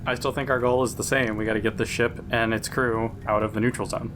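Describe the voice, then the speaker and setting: A man with a middling pitch talks casually as a tabletop role-playing player. Clear noise and a low rumble run behind his voice. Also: little pitch movement, fast talker.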